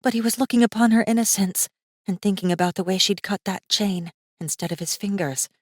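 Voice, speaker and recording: A woman narrating a fantasy audiobook in a measured, suspenseful manner with deliberate pauses. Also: medium pitch, dry recording, clean studio sound. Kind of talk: scripted dramatic fiction narration.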